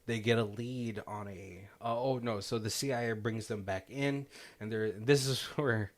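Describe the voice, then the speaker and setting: A man's voice: on the low side, moderate pace. A young man casually recapping a movie on a podcast, rambling and laughing often as he acts out the characters' lines. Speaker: podcast co-host. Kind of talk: casual movie commentary chat.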